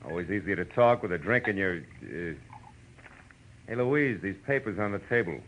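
An actor playing a sardonic, pushy American private eye in old-time radio noir, with clipped, slangy, wisecracking delivery. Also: male voice, sits low, lively intonation, moderate pace, fairly bright tone, slight background noise. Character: hard-boiled, sardonic male private eye, pushy and dogged. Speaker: detective lead and first-person narrator. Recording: muffled old radio recording, music behind.

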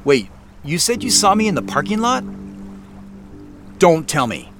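Exasperated, high-voiced, secretive city man. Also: sing-song, measured pace, over music. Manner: incredulous, terse, emphatic. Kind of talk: audio drama dialogue.

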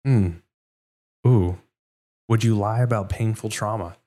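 Very low-voiced man from Texas, show host, rambling and casual. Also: measured pace, warm tone. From Houston, Texas. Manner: rambling, full of fillers. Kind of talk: casual interview chat.

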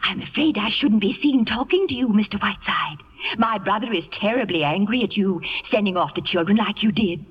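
A woman's voice: mid-range voice, lively intonation, a little fast, breathy edge. An actress playing a coy, genteel, slightly odd spinster in a 1950s radio comedy, fluttery and girlishly confiding. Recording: dull old 1950s radio broadcast.

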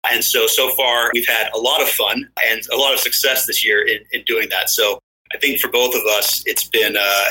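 Bright-voiced man with an American accent. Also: mid-range voice, low-quality recording. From born in London, raised in the United States. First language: Danish. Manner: measured, explanatory, occasional fillers. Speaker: guest, marketing consultant. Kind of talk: podcast interview answers.

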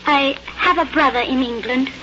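A woman's voice: high voice, moderate pace, pushed and bright. An actress playing an earnest, direct, English-educated young Indian woman in a 1950s radio drama. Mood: subdued, explaining. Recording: dull, very noisy old radio recording.

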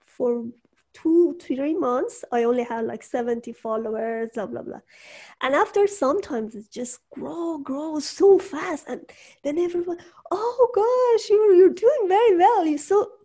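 A woman speaking English as her second language, chatting casually about starting her show, animated and laughing often. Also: sits high, a little slow. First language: not English. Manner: animated, laughs often, acts out quoted voices. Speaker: podcast host and entrepreneur. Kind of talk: casual conversation.

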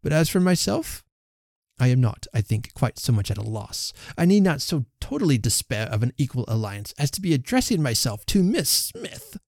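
A man's voice: mid-range voice, big pitch swings, moderate pace, gravelly, warm tone, no echo, studio quality. A man reading the part of a pompous young clergyman who protests his devotion and takes offence. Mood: affronted. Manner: effusive, then haughty.

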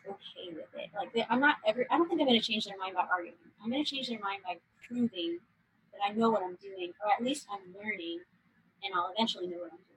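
A middle-aged woman, a woodworker, telling stories in a casual chat, animated and often restarting her sentences. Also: medium pitch, slight background noise, low-quality recording. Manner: animated, self-interrupting storyteller. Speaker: guest woodworker.

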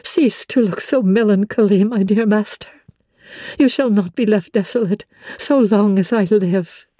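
A woman as a devoted young woman, mellow-voiced. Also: medium pitch, a little slow, no room sound, clean studio sound, close to an everyday voice. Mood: devoted, firm. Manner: earnest, composed. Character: devoted, independent young woman, gentle but firm. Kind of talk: dramatic classic novel reading.

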